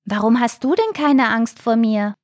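A gentle little shark boy from a children's storybook, voiced by a woman. His voice is lifted high, and he explains himself with earnest eagerness. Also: lively intonation, moderate pace, no room sound, studio quality, close to an everyday voice. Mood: puzzled, downcast.

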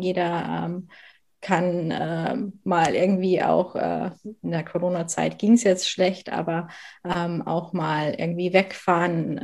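A woman's voice: lowish voice, creaky. A woman from southern Bavaria with a light Bavarian accent, a guest in an interview, speaking earnestly with frequent hesitations. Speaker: guest, working mother. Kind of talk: conversational interview.

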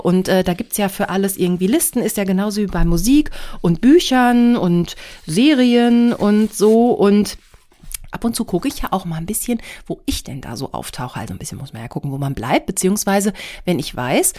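A woman's voice: mid-range voice, a little mellow. A middle-aged woman hosting her own podcast, talking casually and rambling a bit, nasal and stuffed up from a cold. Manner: scattered, rambling, self-deprecating. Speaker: podcast host. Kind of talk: casual solo podcast chat.

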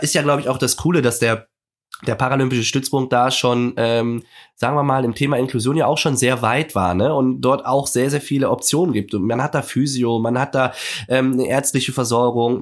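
A young man hosting a podcast, a chatty storyteller who laughs often and fills his talk with lively anecdotes. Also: mid-range voice. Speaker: podcast host, para athlete. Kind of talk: casual podcast conversation.

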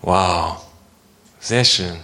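A man's voice: sits low, extremely slow, bright, forceful tone. A man from Germany preaching to a congregation in a casual, conversational way, often posing rhetorical questions. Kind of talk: conversational sermon addressing a congregation.

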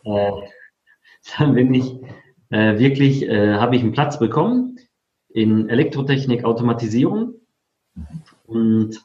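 A man of forty tells his own story casually as an interview guest. His voice sits at a medium pitch, and the recording is roomy and a little dull. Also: darkish tone. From born in Poland, grew up in Germany. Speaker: interview guest, affiliate marketer. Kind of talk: casual autobiographical telling. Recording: roomy, slightly dull sound.

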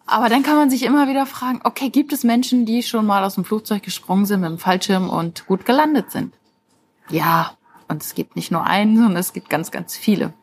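A woman speaks in the manner of a life coach, at a middle pitch. She addresses the listener directly and encouragingly, in an informal monologue meant to motivate. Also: fairly quick, breathy edge. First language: German.

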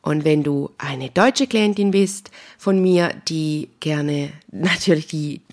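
Low, creaky Swiss German woman podcaster. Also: slow, darkish tone. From Switzerland. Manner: chatty and informal, addresses the listener directly. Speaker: podcast host and spiritual healer. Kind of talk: casual solo podcast talk.

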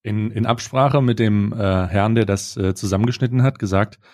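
A man's voice: lowish voice, fairly even intonation, normal speed, darkish tone. A man co-hosting a podcast, chatting casually in a rambling, joking, slangy way into a close microphone. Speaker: podcast co-host. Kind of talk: casual banter chat.

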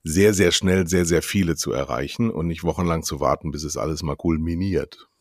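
Man, host, low pitch, dry close sound, emphatic questions. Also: a little fast, no echo. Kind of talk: casual interview conversation.

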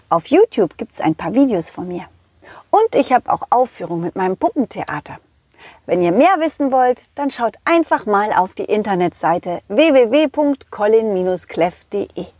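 A woman's voice: medium pitch, warm tone, husky, no room sound. A woman puppeteer narrating for children, speaking to them directly and playfully. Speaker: puppeteer and storyteller.

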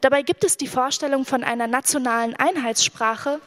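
Woman, from Syria, native Arabic speaker, high voice, measured reading, hesitant between texts. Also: fairly bright tone. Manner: reads in a measured, deliberate way, with hesitant filler sounds between the texts. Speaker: author at a public reading. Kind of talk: live literary reading with spoken asides.

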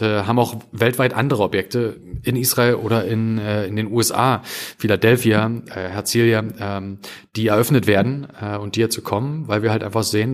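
A man talking casually in an interview about his coworking business, with frequent fillers and restarts. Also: on the low side. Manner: quick, with many fillers and restarts. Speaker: coworking company executive.

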